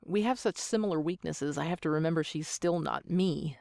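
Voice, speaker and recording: A woman's voice: low-pitched, a little fast, gravelly, a little mellow, no room sound, studio quality. A woman in her thirties answering interview questions as an amateur voice actor, with a joking, self-deprecating manner. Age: 30s.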